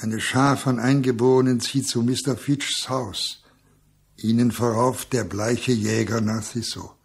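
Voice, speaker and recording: An actor playing the solemn narrator of a radio drama, gravelly and husky, chanting poetic lines. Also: male voice, mid-range voice, fairly even intonation, a little slow. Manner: solemn, incantatory. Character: solemn narrator of a jungle tale.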